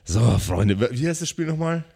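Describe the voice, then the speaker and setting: A man's voice: on the high side, sing-song, fairly quick, mellow, dry recording, studio quality. A man narrating an improvised pen-and-paper audio play as game master.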